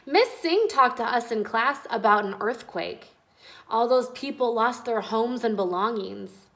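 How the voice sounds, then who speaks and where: A woman's voice: fairly high pitch, lively intonation, unhurried, a bit bright. A woman voicing an enthusiastic, thoughtful young schoolboy in a storybook, earnestly thinking aloud. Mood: earnest, keen.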